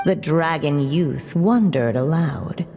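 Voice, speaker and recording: A woman playing a measured, wry storybook narrator in a video game, speaking in deliberate literary phrases. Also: deep voice, warm tone, a little hiss or hum. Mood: measured, mysterious. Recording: long reverb around the voice.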